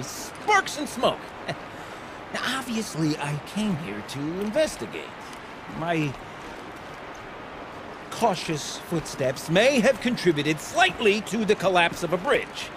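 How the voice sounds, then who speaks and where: An actor playing an eccentric, excitable older male tinkerer in a video game, with a theatrical, wordy way of talking. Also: high voice, unhurried, background noise. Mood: sheepish, rambling. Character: eccentric, excitable older man, rambling self-taught tinkerer. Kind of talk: video game character acting.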